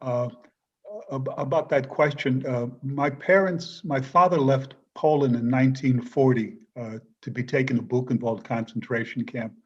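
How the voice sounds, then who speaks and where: A man's voice: medium pitch, fairly even intonation, normal speed, breathy edge. Older guy cutting in on a video call, earnest, kinda dull lo-fi sound. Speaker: panelist author.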